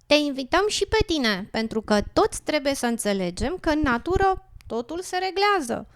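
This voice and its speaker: Woman voicing a wise, higher-pitched frog. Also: sing-song. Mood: sad, solemn. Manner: earnest, persuasive. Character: wise, earnest older frog pleading for nature. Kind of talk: educational radio drama.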